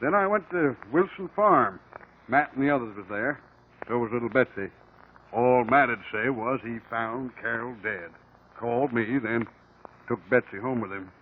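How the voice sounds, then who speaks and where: An actor playing a plainspoken small-town American sheriff in a 1950s radio drama, with rustic, ungrammatical country talk. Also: male voice, mid-range voice, normal speed, husky, narrow, tinny audio, natural voice. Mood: grave, matter-of-fact. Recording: old band-limited radio recording.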